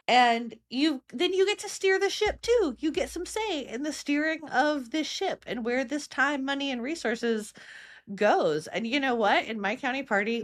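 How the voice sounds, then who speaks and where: A young woman with an American accent talks as a podcast co-host, her voice sitting at a middle pitch. She is informal and chatty, dropping in "like" and "you know" as she goes. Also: a bit bright. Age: young adult. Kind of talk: casual podcast chat.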